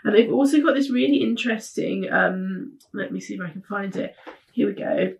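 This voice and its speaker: A woman with a heavy cold talks chattily through new sewing patterns, her voice husky and congested. There is a gravelly fry running through her speech. She sounds enthusiastic and fills pauses with frequent ums. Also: medium pitch. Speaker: host of a weekly sewing pattern roundup. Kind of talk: casual chat to camera.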